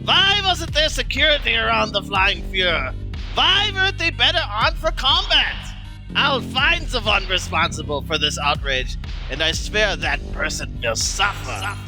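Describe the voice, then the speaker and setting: A man's voice: sits high, very animated, unhurried, full character voice. A man playing a ranting, vengeful Nazi general in a motion comic, with a bombastic, menacing delivery. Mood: outraged. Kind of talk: motion comic villain voice acting. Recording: loud music behind.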